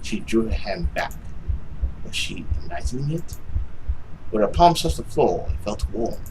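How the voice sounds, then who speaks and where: A man's voice: lowish voice, sing-song, measured pace, background noise. A young man reading a visual novel aloud as dramatic narration, sometimes tripping over words and rereading them. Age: young adult. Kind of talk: dramatic read-aloud of game text.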